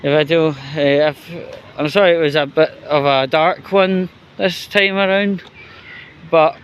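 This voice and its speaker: A Scottish man giving unscripted solo vlog commentary in a halting, hesitant, rambling way. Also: a little high, steady tone, clean tone. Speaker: vlogger.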